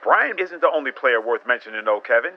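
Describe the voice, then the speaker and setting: A man's voice: mid-range voice, expressive, fairly quick, lightly acted. Actor as muffled male basketball color commentator. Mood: analytical. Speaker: sports commentator. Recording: dull radio-style broadcast with faint noise.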